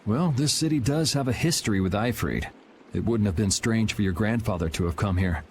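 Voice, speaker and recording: A man's voice: on the low side, fairly quick, a little hiss or hum, close to an everyday voice. A man playing a laid-back young swordsman in a video game, speaking in a dry, matter-of-fact way. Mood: calm, wry.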